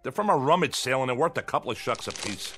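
Radio drama: male actor as grouchy American small-time crook, slangy, scornful husband, very animated delivery. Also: medium pitch, fast talker, music in the background, close to an everyday voice. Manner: sarcastic, slangy, griping.

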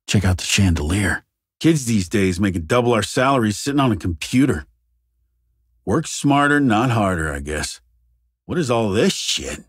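A jaded, foul-mouthed SWAT squad member from a video game. His voice is very raspy and husky at a middling pitch, with animated swings in intonation. He talks in casual, wry asides, recorded close and dry. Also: dry recording. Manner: casual, muttered wisecracks with swearing. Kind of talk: video game character barks.

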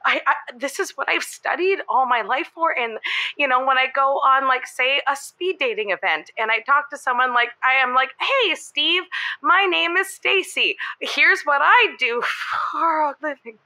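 An awkward, earnest scientist caller, played by a woman, with a high, bright voice. She talks obliviously and sincerely in loose, comedic radio-drama banter. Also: animated, normal speed, heavily acted, poor audio. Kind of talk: semi-improvised audio drama comedy.

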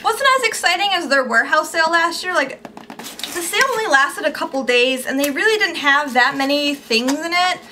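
Bubbly young woman with a bright high voice. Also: lively intonation, a little fast, a little hiss or hum. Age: young adult. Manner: chatty, upbeat, gushing about products. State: losing her voice, slightly squeaky. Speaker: beauty vlogger. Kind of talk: casual haul video. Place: small indoor room at home.

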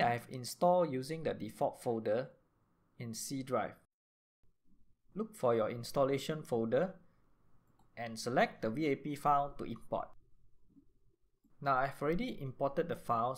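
A man with a mid-pitched voice narrates a step-by-step software tutorial. He speaks as an instructor, marking out each stage for the listener, close on a desktop microphone. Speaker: tutorial host.

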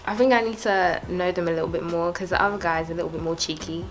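Casting: young British woman, lowish voice, relaxed conversational dating contestant, music underneath. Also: quick pace. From Ghana. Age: young adult. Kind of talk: casual chat. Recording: music playing behind the voice.

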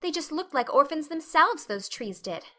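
A chatty, imaginative young girl, played by a woman, high and very bright in tone. She is earnest, effusive and dramatic, as in a storybook reading. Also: sing-song, moderate pace, full character voice. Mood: eager, happy. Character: talkative, dreamy, dramatic eleven-year-old orphan girl.

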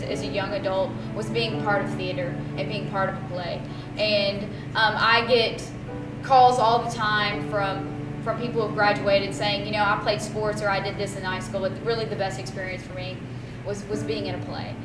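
A woman teacher reflecting casually in an interview, pausing often mid-sentence. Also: mid-range voice, little pitch movement, very noisy. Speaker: high school theater teacher. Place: seated on a dark theater stage. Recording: roomy, distant mic, loud music behind.

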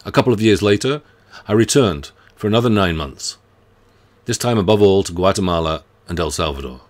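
A middle-aged man, a university professor reading a measured, earnest self-introduction to students from his home study. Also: low voice, expressive. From United Kingdom. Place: home study lined with bookshelves.

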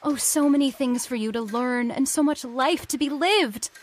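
A high, youthful female voice of an actress plays an impassioned heiress in an old-time radio horror drama. She speaks with theatrical urgency, pleading earnestly for her ideals. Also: moderate pace. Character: impassioned idealistic young heiress of island factory.

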